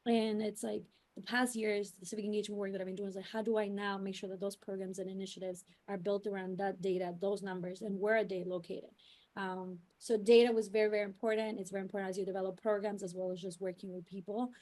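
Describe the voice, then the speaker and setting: A woman's voice: medium pitch, little pitch movement, fast talker, a little mellow. A woman raised in New York City, a youth civic organizer answering on a panel in an earnest, explanatory way with many fillers and restarts. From New York City, United States.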